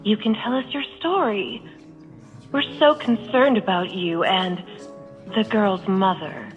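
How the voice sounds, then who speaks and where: A woman's voice: medium pitch, slow pace, highs cut off, natural voice. A woman playing a cruel, mocking noblewoman who taunts in a sneering tone, in a game cutscene. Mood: taunting. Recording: reverberant game audio with music.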